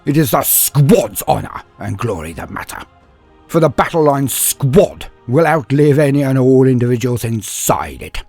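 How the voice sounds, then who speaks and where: A man giving a solemn, theatrical, deliberate narration of a scripted war story. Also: medium pitch, sing-song, vocal fry, darkish tone, slightly rough, no echo, soft background music. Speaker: narrator of fan fiction war tales.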